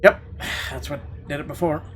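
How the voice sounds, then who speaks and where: A man's voice: fairly high pitch, steady tone, moderate pace, fairly bright tone. A man hosting a gaming video, reading a horror visual novel aloud as dramatic narration. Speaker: gaming channel host. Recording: clear background noise, game ambience.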